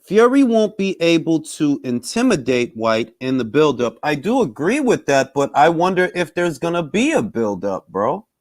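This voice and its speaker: A man's voice: fairly high pitch, very animated, moderate pace, few pauses, dry recording, clean studio sound. A young man hosting a casual boxing talk stream, bantering with loose, profane energy. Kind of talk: casual live-stream chat with viewers.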